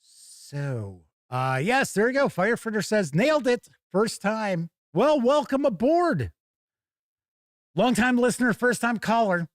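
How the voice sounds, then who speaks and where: American male host, higher-pitched voice, very animated delivery, dry close sound, jokey gaming news chat. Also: measured pace, dry recording. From United States. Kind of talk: live news commentary with casual chat asides.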